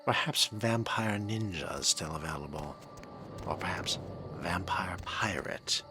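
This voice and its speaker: A man doing in-character game commentary with a dry, wordy, sardonic manner, often dropping into a breathy murmur. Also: low-pitched, measured pace. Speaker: role-playing let's player. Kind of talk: in-character game commentary, musing aloud and reading text. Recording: game music behind. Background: video game music.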